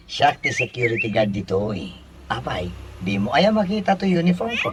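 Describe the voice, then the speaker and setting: A man's voice: medium pitch, huge pitch swings, normal speed. Man playing a flustered Ilocano security guard, noisy recording. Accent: Filipino, Ilocano. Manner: flustered, hesitant, stammering exclamations. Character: flustered married male office security guard. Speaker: actor in a radio comedy drama. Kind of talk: Filipino radio comedy drama acting.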